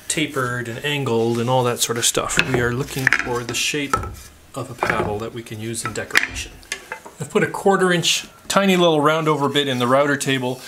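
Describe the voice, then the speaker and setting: A middle-aged man from Canada hosting a woodworking channel, explaining a small craft project in a relaxed, chatty way with plenty of "uh"s and "um"s, in his home workshop. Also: mid-range voice, background noise. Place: home woodworking shop, indoors.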